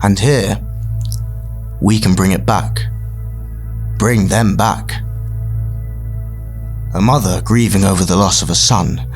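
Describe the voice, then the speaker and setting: A man playing a solemn, persuasive leader giving a grandiose, measured speech with dramatic pauses in an audio drama. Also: low voice, steady tone, faint music behind. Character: solemn, persuasive male leader of a mysterious afterlife program.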